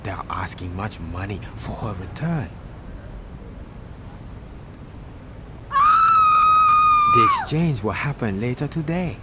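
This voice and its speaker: An actor playing a menacing gangster who gives orders in stilted, formal, non-native phrasing in a game cutscene. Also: male voice, lowish voice, very noisy. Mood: measured, scheming. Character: menacing foreign male London gangster giving orders. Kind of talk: video game cutscene acting.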